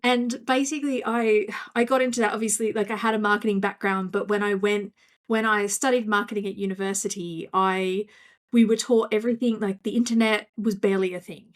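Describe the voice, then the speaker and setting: A woman's voice: medium pitch, steady tone, a little fast. A woman with an Australian accent, a marketing coach telling her career story as a podcast guest in a chatty, self-deprecating way. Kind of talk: podcast interview.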